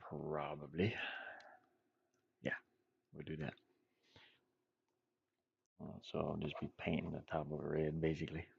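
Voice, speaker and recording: A man's voice: very deep voice, level tone, unhurried, gravelly, pauses often, a little mellow, breathy edge, no room sound, dull audio. A man thinking aloud in short, offhand phrases as he casually demonstrates game art on his computer. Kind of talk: casual screen-recorded work-along, thinking aloud.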